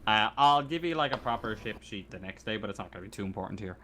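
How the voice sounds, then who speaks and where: A man's voice: fairly low pitch, animated, bright, forceful tone, a little hiss or hum. A man speaking as game master of a tabletop game, unscripted, hesitant and often correcting himself. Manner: hesitant, halting, self-correcting.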